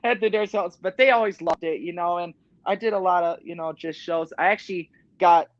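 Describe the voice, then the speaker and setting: A man's voice: a little fast, no echo, lo-fi sound. A sixteen-year-old boy, a guest tribute artist, chatting casually with lots of "you know" fillers from a small home studio over a video call. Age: teens. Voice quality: high talking voice. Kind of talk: casual interview chat.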